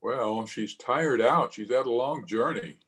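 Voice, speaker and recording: A man's voice: medium pitch, a little slow, fairly bright tone. A man playing a courteous, kindly middle-aged father in an old-time radio drama. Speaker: actor in an amateur radio play. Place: at home, recorded over video call.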